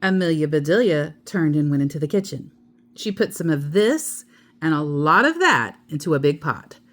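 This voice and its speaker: A woman storyteller reading a children's book aloud in an expressive narrating style. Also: low-pitched, moderate pace.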